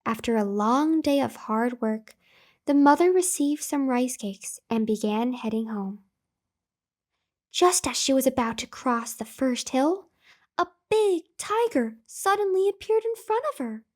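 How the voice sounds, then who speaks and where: High-voiced woman reading bedtime stories slowly. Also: animated. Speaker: storyteller and host. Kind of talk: scripted bedtime story narration for children.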